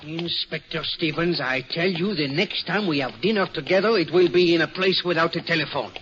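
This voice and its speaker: An actor playing a fastidious, self-assured detective with a French accent, explaining precisely in formal foreign phrasing in an old radio drama. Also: male voice, a little high, fairly bright tone, a little hiss or hum, natural voice. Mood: wry, lightly indignant. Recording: muffled old radio recording.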